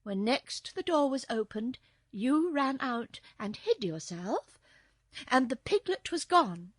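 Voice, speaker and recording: A woman voicing a dignified young princess in a storybook, gentle but indignant, with a formal, measured manner. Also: high-pitched, sing-song, no echo, pitched above the performer's own voice, exaggerated voice. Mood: accusing. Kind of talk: storybook character voice.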